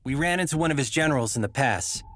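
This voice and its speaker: A man's voice: mid-range voice, lively intonation, fast, fairly bright tone, faint music behind, natural voice. Earnest young male leader played by an actor. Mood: serious. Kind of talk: video game cutscene acting.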